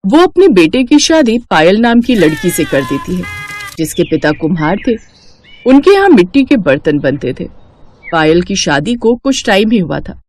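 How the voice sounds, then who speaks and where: A woman's voice: lowish voice, very animated, moderate pace, a little mellow, faint music behind. A woman storyteller reading a scripted moral tale as narration.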